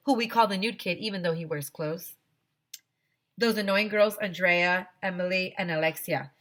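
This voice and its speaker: A woman teacher reading a children's story aloud expressively to her students, with animated emphasis and direct asides to the children. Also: on the low side.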